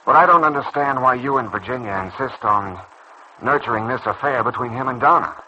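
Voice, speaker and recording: A man's voice: medium pitch, a little fast, natural voice. A man acting in a vintage radio soap as a stubborn, judgmental young doctor, insistent and defensive. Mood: doubtful, stubborn. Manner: insistent, earnest, defensive delivery. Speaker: radio serial actor. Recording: dull, noisy old radio recording.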